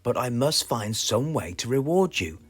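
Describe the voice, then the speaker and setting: A man's voice: mid-range voice, sing-song, moderate pace, breathy edge, faint music behind, voice pushed up. A British man voicing an earnest, easily flustered farmer in a children's storybook. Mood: grateful. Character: worried, perplexed, grateful male storybook farmer.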